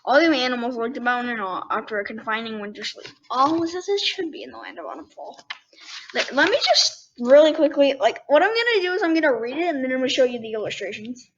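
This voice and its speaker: A child of ten with a high voice reads a children's book aloud and chats casually between passages. The recording is a bit muffled, with faint background noise. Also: lively intonation, quick pace, fairly bright tone. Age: 10 years old. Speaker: child host reading storybooks aloud. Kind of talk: casual read-aloud with asides.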